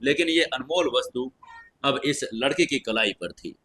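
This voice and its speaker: A man's voice: mid-range voice, lively intonation, a little slow, bright, forceful tone, husky. A man narrating a fantasy audio story in a scripted, dramatic storytelling style.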